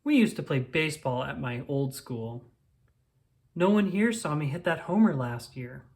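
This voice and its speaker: A storyteller reading a children's book aloud in an expressive, deliberate way, drawing out words. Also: medium pitch. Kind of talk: storybook read-aloud, first-person child narrator.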